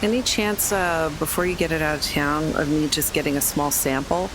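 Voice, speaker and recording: A woman's voice: deep voice, moderate pace, background noise. A woman playing a tabletop game, speaking politely and briefly. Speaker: player.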